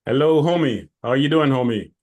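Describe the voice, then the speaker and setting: A man's voice: mid-range voice, a little fast, a little mellow. A man with a mid-range voice talks casually as an attendee on a video call. He banters in a playful, cocky, teasing manner. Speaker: workshop attendee.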